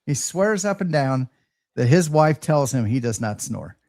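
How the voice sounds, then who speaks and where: A man telling stories in casual chat, halting and often repeating his words as a show host. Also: mid-range voice, very animated, a little mellow, dry recording, clean studio sound. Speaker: host of a live ham radio show.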